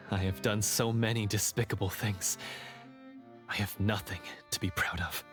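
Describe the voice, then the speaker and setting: A man's voice: on the low side, steady tone, unhurried, fairly bright tone. A man playing a remorseful, self-doubting young swordsman in a fantasy game, earnest and hesitant as he speaks. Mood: despairing. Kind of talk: scripted fantasy game dialogue. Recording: music playing under the voice.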